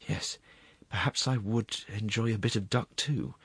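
A man's voice: lowish voice, moderate pace, fairly bright tone, husky, no echo. Man playing a sighing, sour assistant prosecutor. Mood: wistful. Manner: sighing, murmuring, moaning. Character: sour, wistful, impatient male assistant prosecutor with bad stomach.

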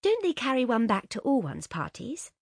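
A woman's voice: fairly high pitch, huge pitch swings, normal speed, breathy edge, no room sound, clean studio sound, voice pushed up, full character voice. A woman voicing a sensitive, well-bred young woman who speaks earnestly. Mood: dreamy. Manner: earnest, urgent questions.

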